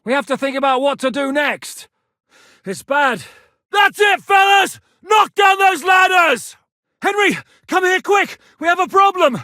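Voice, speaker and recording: An actor playing a battle-hardened medieval rebel commander in a video game, gruff and dry-humoured, with a blunt, commanding, sardonic manner. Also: male voice, extremely high pitch, expressive, measured pace, pushed and bright, no echo, shouting, heavily acted. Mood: wry, resolute, then urgent battle shouting. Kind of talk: dramatic video game character acting.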